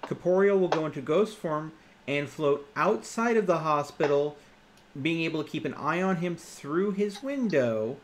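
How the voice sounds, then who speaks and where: Male tabletop player, slightly high voice, casual chat, faint background noise. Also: lively intonation, moderate pace.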